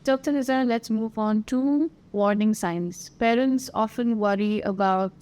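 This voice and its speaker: A woman hosting a podcast, reading her introduction and questions in a smooth, clear way. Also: fairly high pitch, a little slow, darkish tone. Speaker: podcast host. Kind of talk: scripted interview questions.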